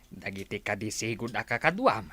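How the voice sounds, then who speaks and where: A man's voice: fairly low pitch, very animated, moderate pace, pushed and bright, exaggerated voice. An actor with an Ilocano accent playing an eager, gullible village man in a comedy radio drama about petty thieves. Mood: wary.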